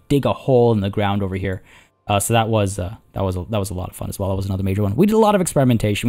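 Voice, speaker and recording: Hoarse man chatting over gameplay. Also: medium pitch, warm tone. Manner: rambling, chatty, many restarts and fillers. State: hoarse, losing his voice. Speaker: let's play host. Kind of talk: casual gameplay commentary.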